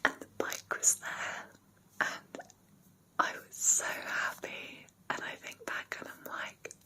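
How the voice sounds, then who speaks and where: A young British woman whispering personal memories in a soft, rambling, sentimental ASMR style with many ums. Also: slow. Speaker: ASMR creator.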